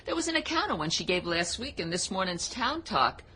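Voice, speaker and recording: An actress playing a gossipy, knowing society manicurist with an American accent and a folksy, emphatic, boastful manner in a radio drama. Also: female voice, medium pitch, big pitch swings, brisk, pushed and bright, husky, heavily acted. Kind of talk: radio drama acting and storytelling.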